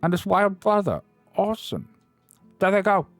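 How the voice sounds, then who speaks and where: A man voicing an old male miser, overwrought and swinging between laughter and tears, in a breathless, excitable delivery. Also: high voice, a little slow, no room sound, faint music behind, voice pushed up, exaggerated voice. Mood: ecstatic.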